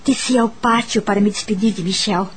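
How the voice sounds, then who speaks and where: An actress with a Brazilian accent playing a gentle, grateful young woman in a radio soap opera, earnest and sentimental. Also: medium pitch, steady tone, brisk, slight background noise, lightly acted. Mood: tender. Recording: background music under the voice.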